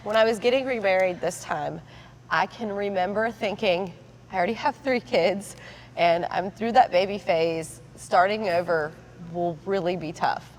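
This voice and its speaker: A woman's voice: mid-range voice, moderate pace. Chatty woman in her thirties. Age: 30s. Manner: chatty, laughs often. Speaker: podcast host. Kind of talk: casual podcast chat. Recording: faint background noise.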